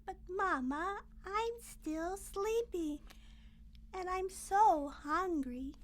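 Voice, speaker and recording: A woman voicing a young, clumsy and dramatic girl in a children's picture book read-aloud, expressive and full of exclamation. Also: very high voice, extremely slow, full character voice. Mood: sleepy, whiny.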